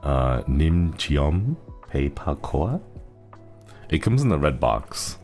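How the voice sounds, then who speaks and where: A man chatting casually on a live stream, his voice airy and breathy today, rambling with frequent hesitations. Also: extremely low pitch, very animated, takes it slowly, a little mellow, faint music behind. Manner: rambling, hesitant with many fillers.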